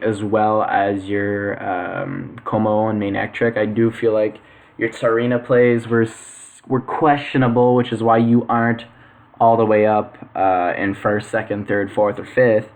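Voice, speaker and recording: A young man giving casual weekly power rankings for a game league, halting with lots of fillers and self-corrections. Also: lowish voice, little pitch movement, a little mellow. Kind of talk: casual commentary.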